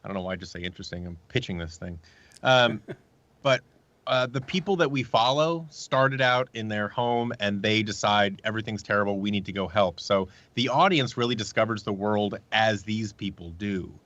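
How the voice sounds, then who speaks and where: A man talking casually and a little haltingly as an interview guest, pitching an audio drama he helped create. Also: fairly bright tone, no room sound, lo-fi sound.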